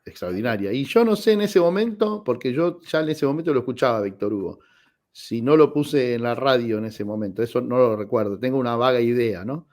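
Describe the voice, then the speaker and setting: A man in his forties with an Argentine accent, a guest telling personal anecdotes in an expressive, conversational radio interview style. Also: medium pitch, brisk. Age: 40s. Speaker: guest, tenor singer.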